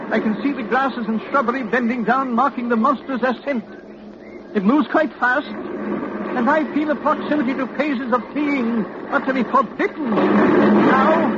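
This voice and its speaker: A man acting in a 1940s radio drama as a frightened but dutiful doctor, speaking formally and dramatically under tension. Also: very high-pitched. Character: frightened, dutiful doctor reporting a monster's approach. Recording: muffled, noisy old radio recording.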